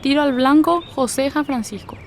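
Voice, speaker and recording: A woman's voice: high voice, moderate pace, a little hiss or hum. An actress playing a woman who runs a village fair meeting, listing prizes and teams. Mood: brisk. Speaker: meeting organizer. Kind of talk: radio drama acting.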